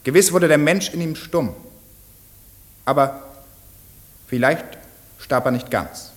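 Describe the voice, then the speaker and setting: Solemn male defence lawyer. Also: a little high. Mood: pleading, earnest. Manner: measured, rhetorical, emphatic pauses. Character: earnest, solemn defence lawyer pleading for mercy. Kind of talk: German radio play courtroom speech.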